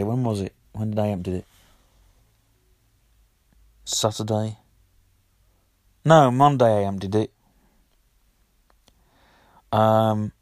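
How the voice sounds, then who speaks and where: A man hosting his own audio blog, his voice husky from a cold, chatting and rambling with lots of ums. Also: on the low side, dry recording, clean studio sound. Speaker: podcast host. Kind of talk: casual solo audio blog.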